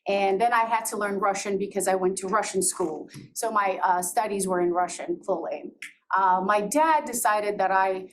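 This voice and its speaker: A woman's voice: medium pitch, moderate pace. A middle-aged woman from Azerbaijan, with Georgian as her first language, giving a candid personal talk to an audience, laughing often and restarting her sentences. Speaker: presenter. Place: presentation room. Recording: voice from far off with faint noise.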